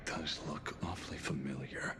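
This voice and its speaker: A man's voice: extremely low pitch, fairly even intonation, normal speed, heavy background noise. A man playing a cautious, world-weary detective in a video game, with a dry, laconic manner. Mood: wary, measured.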